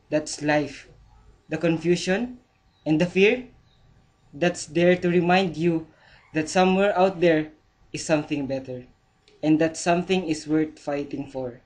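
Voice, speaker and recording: A man with a Filipino accent playing a caring father who gives earnest, slow advice. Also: a little high. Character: caring father of a grown son, encouraging. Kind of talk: amateur audio drama acting.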